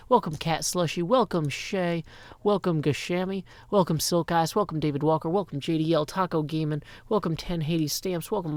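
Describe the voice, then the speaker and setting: A man's voice: on the high side, no echo. A middle-aged man from Nashville, chatting playfully on a live stream and sounding a little tired. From Nashville, Tennessee. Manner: playful, rhyming asides and long lists of greetings. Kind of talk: casual live-stream chat. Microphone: microphone on a stand.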